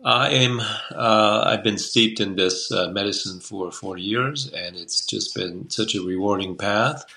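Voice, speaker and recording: A man in his sixties from Taiwan speaks over a video call from a room at home. His voice sits low yet sounds bright and forceful. He rambles easily, circling back with fillers and restarts. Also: a little slow, vocal fry, few pauses, low-quality recording. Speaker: guest, Chinese medicine doctor. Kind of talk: casual interview talk. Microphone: video call webcam.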